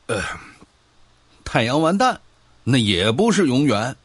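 A man's voice: medium pitch, big pitch swings, unhurried, slightly rough. An actor playing an argumentative, pedantic man who scoffs and lectures his old friend in a sci-fi audio drama. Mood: teasing, contrary.

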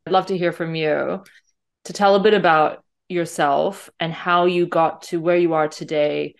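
A woman's voice: on the low side, steady tone, unhurried, vocal fry. A middle-aged woman, a life coach hosting a podcast, reading a scripted intro and asking questions. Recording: music bed under voice.

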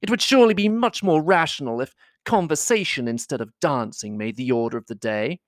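A man's voice: a little high, animated, fairly quick, no room sound, pitched above the performer's own voice, close to an everyday voice. Haughty young society woman, read by a man; affected, swooping, dry close sound. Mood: languid.

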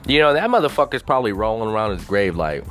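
Man ranting casually, foul-mouthed, rambling, lowish voice, lively pitch, faint music behind. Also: fast talker. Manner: profane, swears constantly; rambling with frequent "you know" fillers.

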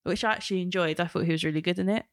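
A woman hosting a casual pop culture podcast, chatty and quick to laugh. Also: fairly low pitch, no room sound, clean studio sound. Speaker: podcast host.

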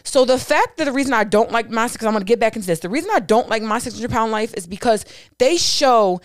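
A woman on a casual podcast chat, talking candidly and profanely in animated stories. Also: on the high side, fast, no room sound, clean studio sound. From Puerto Rican family.

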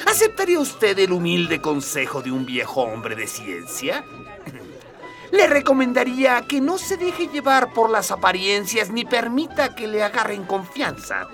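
Pompous old courtly minister, swooping pitch. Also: male voice, huge pitch swings, fairly bright tone, far from the mic, faint music behind, exaggerated voice. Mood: cautioning, courtly. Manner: formal, ceremonious, slow-spoken, throat-clearing. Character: pompous, scheming old prime minister and scientist, courtly, alien. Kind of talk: radio drama acting.

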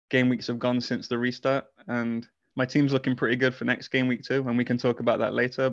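Flat-voiced modest male podcast guest. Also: medium pitch, dry recording, lo-fi sound. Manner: modest, measured, chuckles often. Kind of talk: casual podcast interview.

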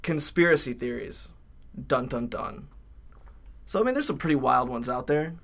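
A man's voice: mid-range voice, lively intonation, moderate pace, gravelly, fairly bright tone. A young man co-hosting a college podcast in a casual, jokey, rambling way from a podcast room. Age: young adult. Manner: laid-back, jokey, rambling with fillers. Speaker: podcast co-host. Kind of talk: casual chat.

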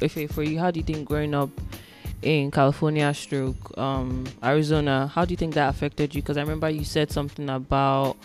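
Host from nigeria, um-ing a lot, friendly and casual. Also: mid-range voice. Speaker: podcast host. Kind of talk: casual podcast hosting.

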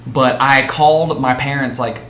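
A man's voice: medium pitch, steady tone, moderate pace, fairly bright tone. Laughing man telling stories in an echoey room. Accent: Texan. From Texas. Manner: laughs often, trailing restarts. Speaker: podcast host. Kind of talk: casual chat, storytelling. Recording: echoey room, faint background hiss.

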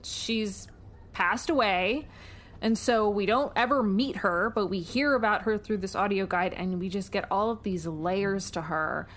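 An American woman hosts a relaxed podcast interview with an enthusiastic, effusive manner. Her voice sits at a middling pitch for a woman. She speaks conversationally, as one host among friends. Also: a little slow. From New York, United States. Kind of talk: casual podcast interview chat.

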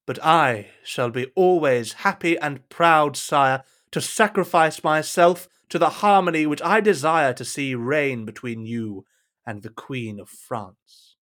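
A man's voice: a little high, unhurried, a bit bright. A man playing a deferential, scheming cardinal in a period audio drama, speaking with courtly, insinuating politeness. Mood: unctuous, courtly. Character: deferential, scheming cardinal serving his king.

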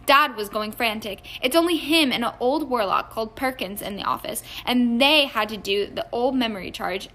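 A woman's voice: on the high side, normal speed, hardly any pauses, pushed and bright. A woman voicing a chatty, mischievous teenage boy in an audiobook, eagerly explaining things. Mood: chatty, amused. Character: teenage wizard boy, chatty, mischievous schemer among brothers.

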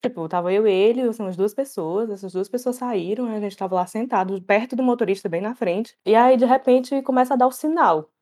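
A young woman telling stories in a casual chat, animated and laughing often. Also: on the high side, brisk, few pauses. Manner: animated, laughs often, acts out quoted voices. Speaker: storyteller guest.

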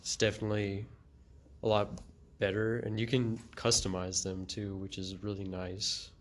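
A man's voice: fairly low pitch, little pitch movement, moderate pace. A teenage man hosting a casual student podcast, talking hesitantly with many fillers and restarts. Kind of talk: casual discussion of a short story and a game. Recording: noisy amateur recording.

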